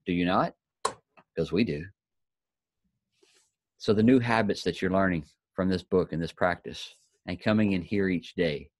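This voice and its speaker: A man with a low voice leads a motivational group in a folksy, encouraging way. The recording is close and dry, with little room around him. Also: a little mellow, no echo. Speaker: host of a daily inspirational group.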